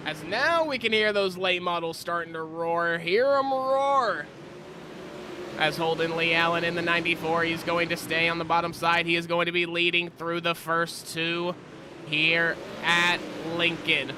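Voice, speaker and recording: A man doing play-by-play commentary for a simulated racing broadcast, slightly stuffy-nosed from a cold, with his pitch climbing during close battles. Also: measured pace, background noise. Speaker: race commentator.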